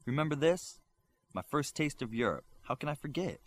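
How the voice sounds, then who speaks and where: A composed, regal woman of worldly experience speaks in an audiobook story, voiced by a man. Her pitch moves freely, and her manner carries quiet authority. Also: expressive, normal speed. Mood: composed, wistful.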